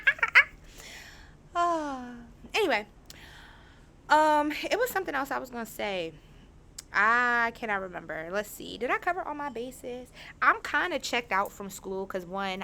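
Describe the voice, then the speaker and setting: A young woman in her twenties hosting a podcast, talking casually and frankly, her voice a little raspy as it recovers. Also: lowish voice, slight background noise. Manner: chatty, frank, animated storytelling. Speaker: podcast host. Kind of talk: casual candid monologue.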